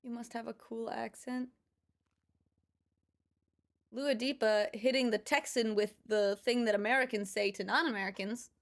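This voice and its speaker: A woman's voice: a little high, steady tone, normal speed, pauses often. A woman livestreamer chatting casually with her viewers, musing aloud and reading out their comments. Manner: chatty, musing, reads out chat.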